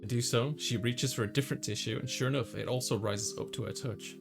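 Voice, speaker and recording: A man plays a visual novel and reads it out loud as a story. His voice sits at an ordinary male pitch. He acts out narration and dialogue, with music clearly playing behind him. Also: steady tone, fast. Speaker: let's player voicing a visual novel. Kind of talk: reading game narration and dialogue aloud, dramatized. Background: clear background music.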